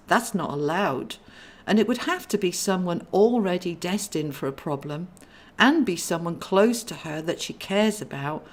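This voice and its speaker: A woman voicing a fretful, protective young female guardian angel in a storybook, chatty and earnest. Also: on the low side. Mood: doubtful. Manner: chatty, earnest, easily excited.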